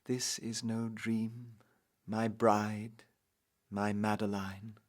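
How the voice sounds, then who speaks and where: A man playing a tender, ardent young knightly lover in a dramatic recital of romantic poetry, slow and impassioned. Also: fairly low pitch, level tone. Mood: adoring. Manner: slow, impassioned, swelling and falling to whispers.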